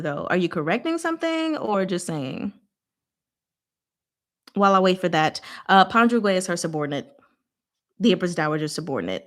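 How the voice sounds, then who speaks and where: A woman hosting a book discussion livestream, chatting casually with viewers in an animated, informal, playfully exasperated way. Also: on the low side. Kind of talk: casual live chat with viewers.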